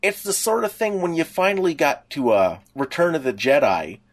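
A middle-aged man co-hosting a podcast, chatting and reminiscing casually, piecing his thoughts together in a halting way. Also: fairly high pitch, big pitch swings. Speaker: podcast co-host. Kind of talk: casual chat, personal reminiscing.

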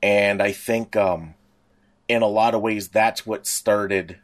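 A man's voice: lowish voice, fairly even intonation, takes it slowly. Rambling man in casual podcast chat. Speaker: podcast co-host. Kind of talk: casual chat about pop culture.

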